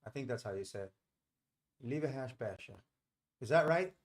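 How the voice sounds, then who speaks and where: A man's voice: medium pitch, lively intonation, moderate pace. A middle-aged man hosting a live stream, talking casually and explaining things in a rambling, informal way. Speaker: live stream host and trader.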